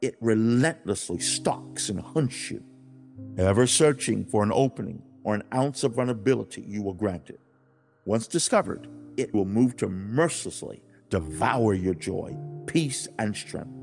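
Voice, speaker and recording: A man playing God as a stern, loving, earnest father in a dramatized religious audiobook, speaking emphatically and deliberately with dramatic pauses. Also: fairly low pitch, lively intonation, gravelly, darkish tone, soft background music. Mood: grave, warning. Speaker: audiobook narrator.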